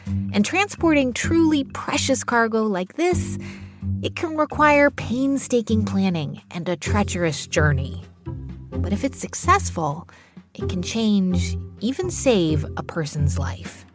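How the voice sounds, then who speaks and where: A woman's voice: mid-range voice, big pitch swings, slow, over music. A woman hosting a radio show, narrating from a script. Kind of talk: scripted narration with some interviewing.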